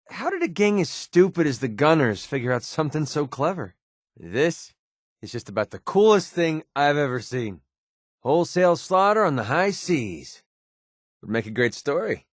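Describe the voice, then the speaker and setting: A sardonic young American sniper-for-hire voiced by a man from a post-apocalyptic video game. He talks in a casual, wisecracking way at an average male pitch. The sound is dry, with no room on it. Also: dry recording, natural voice. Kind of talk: video game companion voice lines.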